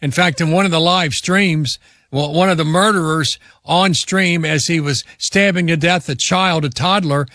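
A man hosting a talk radio show, interviewing a guest with emphatic, drawn-out questions. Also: a little high, sharp tone, no echo, studio quality.

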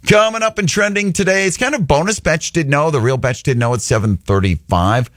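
A man hosting a morning radio show, chatty and upbeat, doing energetic live reads. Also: fairly high pitch, brisk, no room sound, clean studio sound. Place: radio studio.

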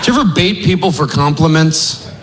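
A man's voice: fairly high pitch, lively intonation, brisk, background noise. A man performing a stand-up routine, mimicking others in squealing voices. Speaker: stand-up comedian. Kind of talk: stand-up routine before a live crowd. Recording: live club set, roomy with loud crowd. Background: laughing audience.

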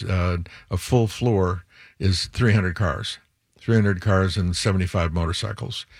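A man's voice: low voice, husky, no echo, studio quality. An older man speaking as an interview guest, rambling a little and correcting himself as he lists things. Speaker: museum executive guest. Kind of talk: interview conversation.

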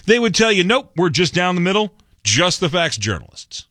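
A man's voice: high voice, big pitch swings, normal speed, sharp tone, no room sound. A man hosting a conservative talk radio show, delivering a sarcastic monologue and mocking other outlets in put-on quotes, recorded on a studio mic.

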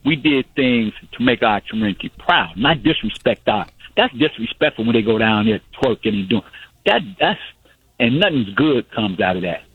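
A man's voice: medium pitch, lively intonation, moderate pace, husky, dry recording. A man in his sixties calling into a radio show, arguing insistently and repeating himself to press his points. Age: 60s. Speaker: caller. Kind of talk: radio call-in debate. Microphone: phone. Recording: tinny phone line.

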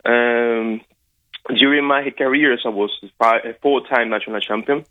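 A man's voice: mid-range voice, fairly even intonation, unhurried. A man from Cuba with a Cuban Spanish accent, a Spanish speaker, talking as an interview guest, hesitant with many fillers and restarts. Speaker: guest, wrestling coach. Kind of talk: casual interview over a call. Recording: tinny phone-like line.

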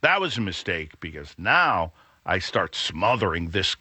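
Booming, animated middle-aged male host. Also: a little slow, husky, no echo. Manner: rambling, self-interrupting, laughs at own jokes. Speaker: radio show host. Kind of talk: casual storytelling chat.

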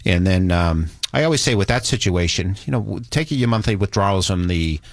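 Middle-aged male financial advisor, low and halting, slightly hoarse, dry studio sound. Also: animated, no echo. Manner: halting, many restarts and fillers. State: losing his voice. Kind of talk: casual radio chat.

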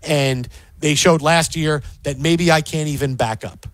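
A man co-hosting a casual sports talk show, animated and often repeating words as he gets a thought going. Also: on the high side, measured pace, no echo. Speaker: sports podcast co-host.

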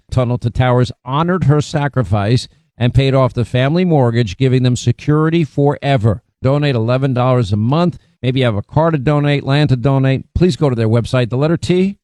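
A man's voice: mid-range voice, brisk, mellow, no echo. A man reading an earnest charity appeal in a sincere, pleading tone.

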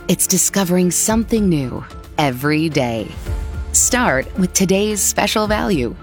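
A woman voicing radio commercials in a smooth, friendly read. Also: low voice. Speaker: ad announcer.

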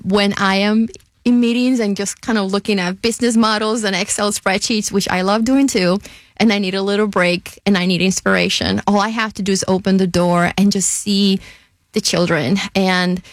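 A woman's voice: mid-range voice, dry recording. A middle-aged woman with a Puerto Rican accent, speaking earnestly in an interview as a nonprofit leader, often repeating words as she goes. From Puerto Rico. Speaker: nonprofit CEO, former physician. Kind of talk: interview conversation.

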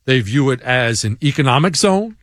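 A man's voice: mid-range voice, expressive, normal speed, a bit bright, no room sound, clean studio sound. A man hosting a talk show, giving a blunt, combative monologue and reading listener emails aloud. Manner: blunt and combative, stammers on restarts. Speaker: show host.

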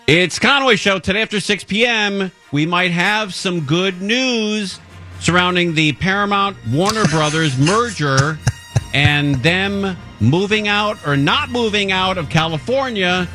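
A man's voice: sits high, sharp tone, faint music behind. A man reading a short, upbeat promo for a radio talk show. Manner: brisk, upbeat.

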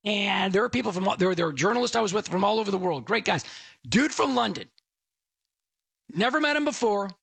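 Gravelly fast-talking man hosting a radio show. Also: sits high, dry recording. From Texas. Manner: fast, animated talker. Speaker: radio tech show host. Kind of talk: casual radio monologue.

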